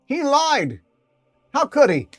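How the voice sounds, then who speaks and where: A man's voice: very high voice, wildly swooping pitch, takes it slowly, no echo, pitched above the performer's own voice, heavily acted. A man voicing a foul-mouthed, fiercely loyal female talking ferret. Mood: grieving fury. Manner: brash, loud, slangy, swears.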